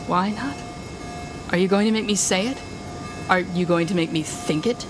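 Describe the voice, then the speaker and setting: A woman's voice: fairly low pitch, normal speed, over music, natural voice. A woman playing a weary, sardonic telepathic supervillain in an audio roleplay, speaking wryly and dramatically straight to one listener. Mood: desperate, anguished. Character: weary sardonic female telepathic supervillain, bitter yet fair.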